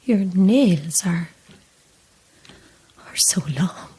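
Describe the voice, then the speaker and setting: Slow-speaking, weary grieving mother. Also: on the low side, dark tone, husky, heavily acted. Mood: dread. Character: weary, grieving mother posing as make-up saleswoman, guarded and uneasy. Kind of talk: horror audio drama acting.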